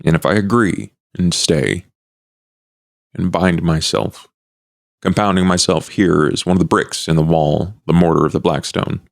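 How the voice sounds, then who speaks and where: A man acts out a shrewd vampire in a tabletop horror game. His voice is low and gravelly, with formal, deliberate, courteous phrasing, recorded dry and close. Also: steady tone, no echo. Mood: formal, guarded.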